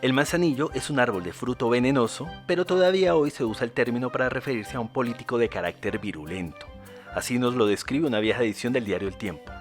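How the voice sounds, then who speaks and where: A man narrates a scripted radio documentary. His pitch is mid-range for a man, and light music plays behind him. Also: quick pace.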